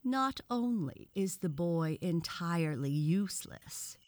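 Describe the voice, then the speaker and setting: A woman reader acts out a male frog professor from a children's storybook. He is severe and self-important, lecturing at a very unhurried pace with sarcastic scorn. The pitch sits a little raised above plain narration. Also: animated, no room sound. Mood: scornful lecturing.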